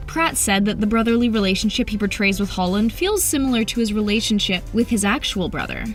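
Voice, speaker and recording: A woman's voice: medium pitch, a little fast. A woman narrating an entertainment video from a script. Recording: music under the voice. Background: background music.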